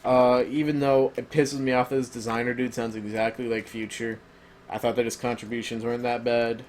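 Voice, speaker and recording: A man's voice: mid-range voice, flat delivery, a little fast, darkish tone, slight background noise. Rambling man losing his voice. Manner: rambling, filler-heavy, opinionated. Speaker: album reviewer. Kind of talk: casual spoken review.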